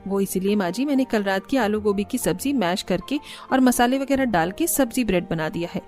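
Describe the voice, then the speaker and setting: A woman voicing a gentle, sensible young daughter-in-law from a humble home in a cartoon story, polite and explanatory. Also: mid-range voice, a little fast, soft background music, lightly acted. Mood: calm, humble. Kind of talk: Hindi cartoon story dubbing.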